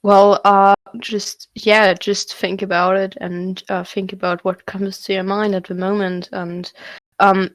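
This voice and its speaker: Slow, hesitant young woman. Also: medium pitch, no room sound. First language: not English. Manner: hesitant, full of fillers and restarts. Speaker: student poet answering questions. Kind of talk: hesitant online Q&A answers. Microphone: video call.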